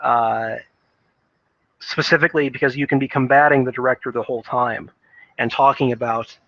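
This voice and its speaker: A man's voice: mid-range voice, steady tone, moderate pace, dry recording. A man giving casual, opinionated video game commentary in a rambling, hesitant way, thinking aloud on a dull lo-fi microphone.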